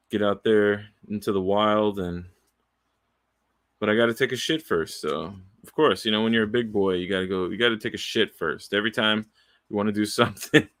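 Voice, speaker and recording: A man nearing forty talks into his own microphone at home in a loose, rambling way. His voice sits a little low, and the sound is dry and close, with no room around it. He swears freely, restarts phrases and trails off between thoughts. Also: fairly bright tone, smooth, no room sound. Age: 30s. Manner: candid, profane, wandering, with restarts and long trailing pauses. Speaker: aspiring voiceover artist. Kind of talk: casual rambling monologue. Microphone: studio microphone, close and dry.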